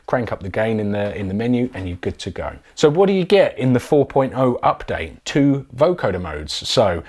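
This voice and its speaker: A man's voice: medium pitch, big pitch swings, normal speed, darkish tone. A man presenting a casual, chatty product demonstration in an informal way.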